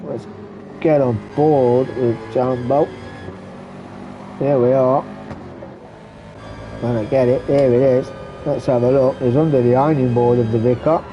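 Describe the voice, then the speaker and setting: A man giving relaxed running commentary on a sim race, stuffy and muffled from a cold. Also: medium pitch, measured pace, long pauses, music in the background. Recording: muffled lo-fi recording with background noise.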